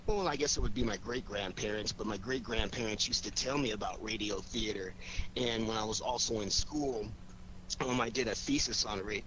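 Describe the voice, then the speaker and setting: A man talks casually and with enthusiasm in a medium-pitched voice. He sounds like a performer chatting on internet radio after a show, with faint noise in the recording. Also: bright, forceful tone, rough recording. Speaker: radio theater cast member introducing the cast. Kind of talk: casual post-show chat.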